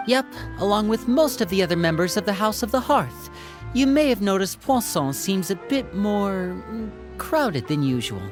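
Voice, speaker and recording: A woman's voice: mid-range voice, very animated, normal speed, close to an everyday voice. A woman voicing a polite, charming young male stage magician in a video game, courteous and a little theatrical. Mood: hesitant. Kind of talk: video game character dialogue. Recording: faint music behind the voice.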